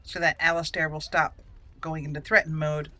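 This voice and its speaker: Bright-voiced woman hosting a game playthrough. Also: low voice, little pitch movement, normal speed. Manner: chatty, playful asides. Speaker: gaming video host. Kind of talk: roleplay game commentary, partly reading dialogue choices aloud.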